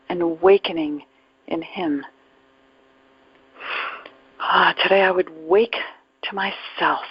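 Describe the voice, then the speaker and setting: A woman leading a guided spiritual meditation in a contemplative, unhurried way, speaking phrase by phrase with long pauses, her voice breathy and at times close to a whisper. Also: mid-range voice, no echo. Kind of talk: guided meditation, reflective spiritual talk with readings. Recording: dull, muffled lo-fi audio.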